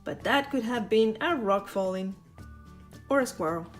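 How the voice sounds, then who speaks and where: A woman's voice: mid-range voice, lively intonation, normal speed, faint music behind. A woman reading a children's picture book aloud in a playful way, with teasing asides to the listener. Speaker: storyteller.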